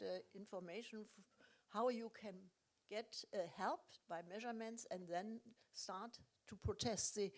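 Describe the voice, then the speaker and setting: A woman with a German accent moderating a panel, giving insistent impromptu advice in halting English. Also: mid-range voice. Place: indoor conference.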